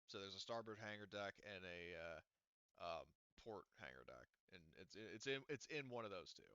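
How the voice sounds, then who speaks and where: A man narrating as game master of a tabletop roleplaying game, loose and rambling with many fillers and casual swearing. His voice is lowish, bright and smooth. The recording is dry and muffled. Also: expressive, moderate pace, no room sound.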